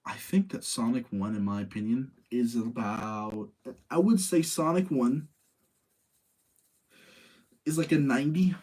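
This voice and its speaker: A man's voice: mid-range voice, a little slow, pauses often, a little mellow, low-quality recording. A man hosting in a casual, informal chat style, freely giving his own opinions as he talks to listeners. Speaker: host of a live ranking show about video game movies.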